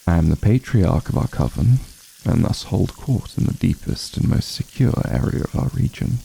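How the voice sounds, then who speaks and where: A man's voice: deep voice, animated, normal speed, vocal fry, mellow, a little hiss or hum, full character voice, low-quality recording. A man playing an ancient, aloof vampire patriarch in an ASMR fantasy roleplay, commanding yet honorable, speaking in formal, haughty, archaic phrasing. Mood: measured, proud.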